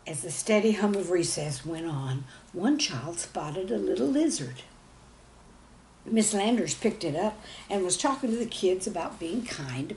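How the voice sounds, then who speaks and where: A woman telling stories to young children in an expressive, folksy way, speaking to them directly. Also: lowish voice, slight background noise, poor audio. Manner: folksy, animated, addresses young listeners directly. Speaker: children's storyteller.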